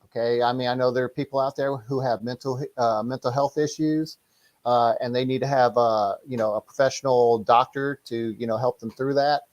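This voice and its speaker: A middle-aged man with a mid-pitched voice talks as a martial arts instructor in a casual interview. He rambles and restarts often, explaining things in a conversational, hands-on way. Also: fairly even intonation, moderate pace, a little mellow, muffled recording.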